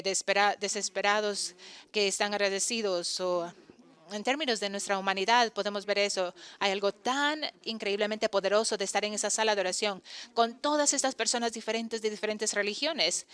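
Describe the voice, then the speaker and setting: A woman giving a voice-over interpretation of a conference talk, halting often to correct and restart her phrases. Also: medium pitch, fairly bright tone, clear, resonant tone.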